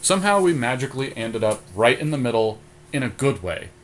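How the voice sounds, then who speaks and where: A man's voice: mid-range voice, very animated, a little fast, slight background noise. A man co-hosting a casual podcast chat about a card game, thinking aloud as he goes. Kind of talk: casual back-and-forth chat while building a card deck.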